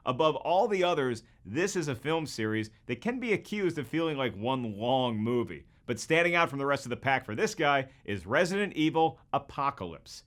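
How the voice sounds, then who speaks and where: A man's voice: mid-range voice, fairly bright tone. A man hosting a scripted video commentary in a jokey, colloquial and emphatic way. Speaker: host.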